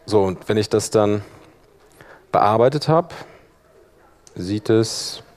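Low-voiced man leading an informal software workshop. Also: lively intonation, slow. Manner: informal, talking through clicks while working. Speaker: workshop presenter, audio editor. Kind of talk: live software demonstration, explanatory.

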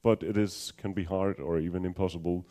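Low, mellow-voiced Danish man presenting. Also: fairly even intonation, a little fast. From Denmark. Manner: hesitant, many ums and restarts, dry humor. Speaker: conference speaker. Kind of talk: technical conference talk.

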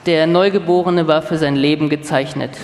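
A man playing a chief programmer who recounts anecdotes in a dry, measured way, in a live documentary radio feature. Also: on the high side, fairly even intonation, close to an everyday voice. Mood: wry, narrative. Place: live stage in a large hall. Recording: echoey hall with long reverb.

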